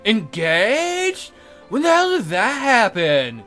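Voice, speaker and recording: A man's voice: high-pitched, wildly swooping pitch, takes it slowly, pushed and bright, faint music behind, voice pushed up, heavily acted. A man voicing a ditzy, exasperated young maiden in a visual novel, with whiny, slangy delivery. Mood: indignant.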